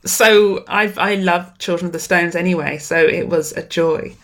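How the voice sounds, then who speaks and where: A woman writer talking about her work in an interview, warm and excitable, laughing often and sometimes repeating her words. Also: lowish voice, moderate pace. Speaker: writer guest. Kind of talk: casual interview conversation.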